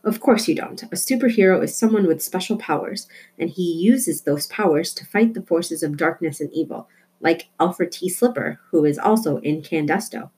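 Lady doing an excitable earnest kid girl in a storybook, explains stuff hard, bit husky. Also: on the low side, moderate pace. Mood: earnest explaining. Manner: emphatic, explaining eagerly. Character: earnest, excitable young girl, self-declared cynic. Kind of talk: storybook character voice.

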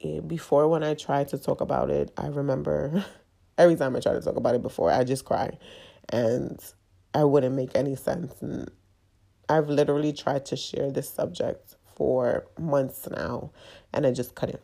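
A woman hosting her own podcast, congested and a little nasal from a cold, talking candidly in a halting, confessional way. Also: extremely low pitch, steady tone, creaky, warm tone. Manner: halting, confessional, with frequent fillers. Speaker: podcast host. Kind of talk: candid personal monologue.

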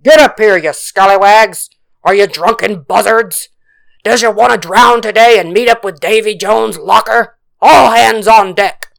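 A woman voicing a gruff, angry male pirate captain from a storybook, roaring orders in old-time pirate talk. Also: medium pitch, measured pace, voice pushed up. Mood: angry, impatient.

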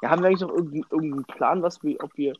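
A man's voice: on the high side, racing, lo-fi sound, a little hiss or hum. A young man co-hosting a casual podcast, joking in slang and often restarting his words. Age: young adult. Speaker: podcast co-host. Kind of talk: casual banter.

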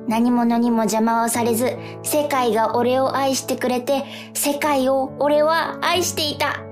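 A woman acting a dreamy, unsure young boy in a radio drama, musing and repeating phrases haltingly. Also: sits high, fairly even intonation. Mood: exuberant, musing. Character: dreamy young boy Hana, unsure and wondering about life. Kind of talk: theatrical radio drama monologue. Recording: music under the voice.

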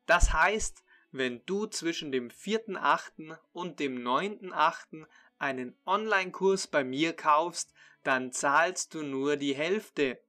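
A man who teaches German online talks slowly and deliberately, laying out a course sale straight to the viewer. His voice is bright and clear, sitting a bit high for a man. Soft instrumental music plays under him. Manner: slow, deliberate, addresses the listener directly. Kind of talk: promotional sales pitch to learners.

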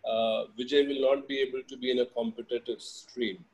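A man's voice: medium pitch, steady tone, brisk, rough recording. A middle-aged man with an Indian accent, speaking as a guest in a casual, reflective conversation. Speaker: interview guest.

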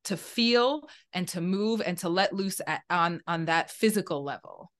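A woman with a naturally loud voice and a somewhat low pitch. She talks like a podcast guest, gushing and emphatic as she describes things. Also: unhurried. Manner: effusive, emphatic storytelling. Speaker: guest, physical therapist. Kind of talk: casual podcast conversation.

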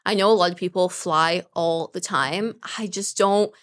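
A woman co-hosting a podcast in casual chat, chatty and expressive and quick to laugh along. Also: on the low side. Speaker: podcast co-host.

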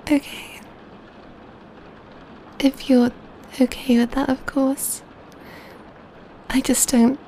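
A woman playing a gentle, doting female vampire in a soft-spoken, tender ASMR roleplay. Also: high-pitched, slight background noise, full character voice, rough recording. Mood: tender, loving. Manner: tender, slow, hushed, softly giggling delivery. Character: gentle, doting female vampire pampering her adopted catgirl lover.

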